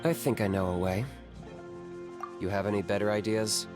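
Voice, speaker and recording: Man playing a low-voiced, calm young hunter. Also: over loud music, natural voice. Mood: resolute. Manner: measured, matter-of-fact. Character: young male saurian hunter, calm, blunt, pragmatic loner. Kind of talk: video game voice acting.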